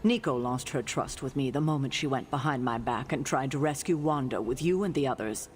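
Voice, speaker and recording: An actress playing a stern, distrustful middle-aged guardian of heroes in a video game, speaking formally and with exasperation. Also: female voice, extremely low pitch, a little fast, slight background noise. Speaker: leader and guardian of a team of heroes. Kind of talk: dramatic video game acting.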